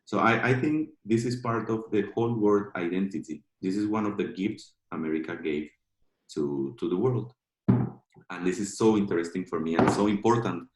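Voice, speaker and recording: Man, Colombian Spanish accent, halting casual English, echoey kitchen, video call. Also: deep voice. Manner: halting, many filler "like"s and restarts. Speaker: guest speaker. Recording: echoey room.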